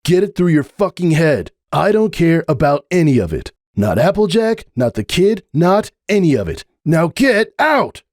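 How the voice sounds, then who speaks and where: A man's voice: fairly high pitch, big pitch swings, moderate pace, hardly any pauses, darkish tone, dry recording, clean studio sound, voice pushed up. A man voicing a big, hotheaded pegasus stallion in a fan-story reading, harsh and defensive in his speech. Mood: furious.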